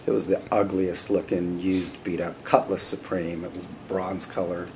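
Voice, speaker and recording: A middle-aged man from California, a company CEO telling stories in a relaxed, conversational way as an interview guest, often pausing with "uh" and "you know". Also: low voice, slight background noise. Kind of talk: casual interview storytelling.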